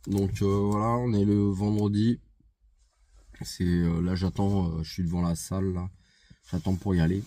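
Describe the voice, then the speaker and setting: Congested man, low and dark, unhurried and halting, vlog chat. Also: steady tone, dry recording. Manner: hesitant, many fillers and restarts. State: congested with a head cold. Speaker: vlogger. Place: outside a gym.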